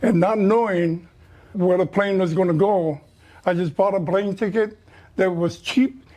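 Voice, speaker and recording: A man's voice: high voice, steady tone, unhurried, dark tone. A middle-aged man from Niihau with a Hawaiian Pidgin accent, Hawaiian his first language, telling stories as a guest in short, plain-spoken answers. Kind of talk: casual storytelling.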